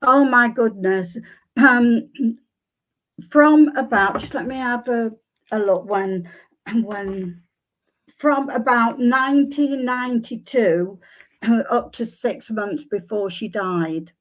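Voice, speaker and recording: Slow, hesitant older Englishwoman, a bit croaky. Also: a little high, low-quality recording. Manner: hesitant, many ums and restarts. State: slightly croaky voice. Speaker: psychic guest. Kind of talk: conversational interview answers.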